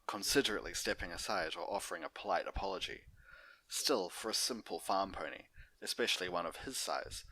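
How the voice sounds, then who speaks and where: A man's voice: low voice, pushed and bright, low-quality recording. A man hosting and reading fan fiction aloud as an amateur narrator. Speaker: host and story reader.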